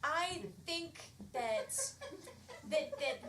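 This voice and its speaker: A woman improv performer on stage, speaking in hesitant, drawn-out phrases as she makes up a scene. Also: very high-pitched, huge pitch swings. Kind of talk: improvised comedy. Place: theatre stage. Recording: echoey stage, faint noise.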